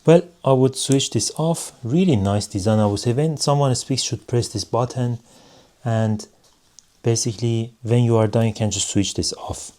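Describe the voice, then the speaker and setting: A man giving a casual hands-on product review in measured, non-native English phrasing. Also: mid-range voice, a little mellow. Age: adult.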